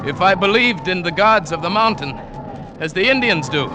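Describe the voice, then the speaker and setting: An actor playing a grave, superstitious mountain guide in an old radio drama, speaking formally with music playing behind him. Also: male voice, high-pitched, expressive, normal speed, noisy background. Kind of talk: 1940s radio acting.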